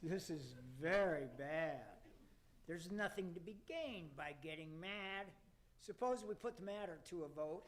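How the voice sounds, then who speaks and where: Radio play: high-pitched, pompous devil chairman, formal and theatrical male actor. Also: natural voice.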